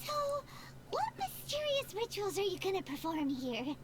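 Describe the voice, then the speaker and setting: A tiny inquisitive fairy from a video game, extremely high and squeaky. The childlike voice swings wildly in pitch, with clear noise behind it. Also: female voice, very animated, measured pace, heavily acted. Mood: curious. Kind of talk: video game character acting.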